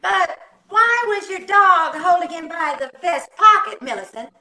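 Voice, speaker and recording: A woman playing a sharp-tongued but patient wife in an old-time radio comedy, teasing and wry. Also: very high-pitched, lively intonation, a little slow, exaggerated voice. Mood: curious. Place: indoors on a live Zoom call. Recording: echoey room on video call.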